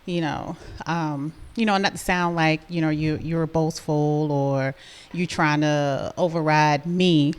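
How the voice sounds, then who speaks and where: Lowish-voiced woman chatting on a podcast. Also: steady tone, slow pace, smooth. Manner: easygoing, many affirming murmurs. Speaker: podcast co-host. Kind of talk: casual chat.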